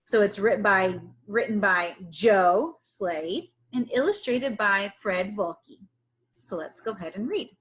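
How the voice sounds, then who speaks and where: A woman teacher giving a read-aloud lesson to young children, pausing to ask them questions in an instructional way. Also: mid-range voice, expressive, fairly bright tone.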